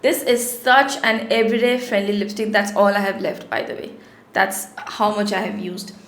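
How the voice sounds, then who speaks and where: A woman's voice: medium pitch, normal speed, echoey room. A woman in her thirties with a sore throat, chatting casually to camera as she reviews products in a small home studio. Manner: chatty, repeats words for emphasis. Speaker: beauty vlogger.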